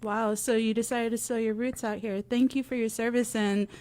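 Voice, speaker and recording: A woman's voice: a little high, fairly even intonation, moderate pace, a little mellow. A woman co-hosting a live talk radio show in a chatty style. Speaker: radio co-host.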